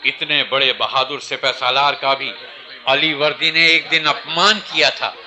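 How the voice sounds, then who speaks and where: A man's voice: medium pitch, fairly even intonation, unhurried, sharp tone. An actor playing a sly merchant conspirator in a Hindi historical radio play, goading as he stirs grievances.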